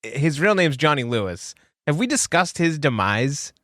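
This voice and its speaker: A man's voice: on the high side, sing-song, normal speed, fairly bright tone, no room sound, studio quality. A man in his late thirties co-hosting a podcast, joking and trailing off as he talks casually. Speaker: podcast co-host. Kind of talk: casual chat.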